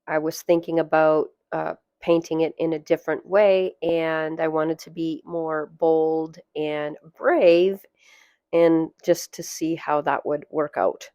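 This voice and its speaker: A woman narrating a watercolor painting tutorial, hoarse and losing her voice, soft-spoken and chatty. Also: low-pitched, level tone, slow pace, dry recording, muffled recording. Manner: soft-spoken, chatty, with fillers and light laughs. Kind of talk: relaxed voice-over tutorial.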